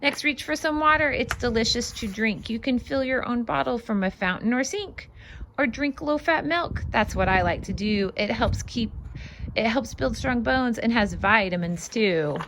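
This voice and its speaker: A woman reading a children's picture book aloud as host, expressive and sing-song, stressing the rhymes and adding casual asides. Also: a little high, moderate pace, slight background noise, rough recording.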